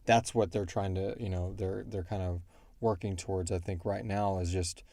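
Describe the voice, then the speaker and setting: Man, low relaxed voice, very dry close sound, casual rambling explainer of fictional lore. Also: moderate pace, no room sound. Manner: rambling, quotes characters in brief asides. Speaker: show creator answering listener questions.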